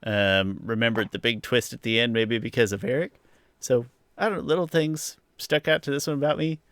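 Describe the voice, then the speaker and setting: A man's voice: mid-range voice, brisk, fairly bright tone, clean tone, no room sound. A man hosting a podcast, chatting casually through a review in a rambling, hedging way. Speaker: podcast host.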